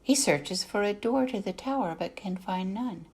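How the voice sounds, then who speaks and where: A woman reading a literary fairy tale for adults as narrator, with deliberate, dramatic phrasing that trails off softly at phrase ends. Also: medium pitch, expressive, husky. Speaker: narrator, artist reading excerpts. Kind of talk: scripted storybook narration for adults.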